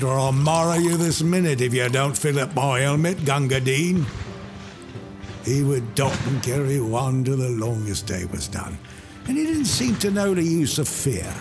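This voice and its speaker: A man voicing a rough old British soldier, reciting a war poem in heavy with bellowed calls. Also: mid-range voice, pitched above the performer's own voice. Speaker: audiobook narrator. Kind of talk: dramatic poetry reading. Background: music.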